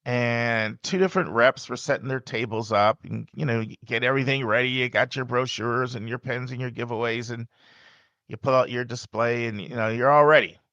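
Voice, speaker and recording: Loud, emphatic male podcast host. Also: mid-range voice, moderate pace, dry recording, muffled recording. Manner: loud, emphatic and conversational, with asides to the listener. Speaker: podcast host and college admissions advisor. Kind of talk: casual podcast monologue.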